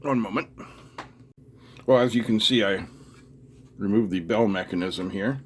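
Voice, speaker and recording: Middle-aged man, lowish voice, casual workbench narration, muttering as he works, noisy recording with clicks. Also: measured pace. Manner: unscripted, muttering to himself while working. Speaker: host of an electronics teardown video.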